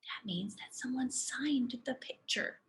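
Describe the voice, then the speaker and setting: A woman, a preschool teacher, reading a story aloud to young children with deliberate, expressive phrasing. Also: sits high, whispered.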